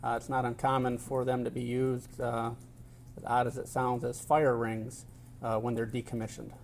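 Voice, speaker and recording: A middle-aged man answers questions on the witness stand in a gravelly, slightly rough voice. He is measured and technical, pausing often with small hesitations, and stays formally polite. A faint hiss of noise sits behind him. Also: medium pitch, completely flat delivery, darkish tone. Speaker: expert witness. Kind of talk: courtroom testimony.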